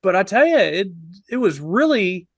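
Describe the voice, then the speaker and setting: Man, fifties, gravelly voice, slightly high pitch, casual storytelling, animated reviewer. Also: normal speed, dry recording. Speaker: film review panelist. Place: indoors on a video call.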